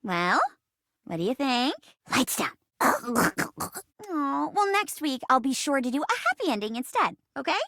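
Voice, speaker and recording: A playful young theater nerd in a video game. Her voice is very high and bright, with lively swings in pitch. She is earnest and expressive, sliding between stagey and casual. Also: unhurried, no room sound, clean studio sound, exaggerated voice. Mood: casual, teasing. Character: theatrical dorky young woman, amateur actress, playful and earnest. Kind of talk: video game character dialogue.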